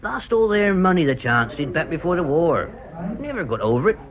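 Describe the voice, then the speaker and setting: Folksy village bloke in a radio play, gossipy, very up and down voice, bit muffled. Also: male voice, a little high, sing-song, brisk, crowd noise. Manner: folksy, clipped, dialect phrasing. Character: gossipy, loyal, cagey village man, a war veteran. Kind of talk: British radio drama acting. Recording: dull, muffled radio recording.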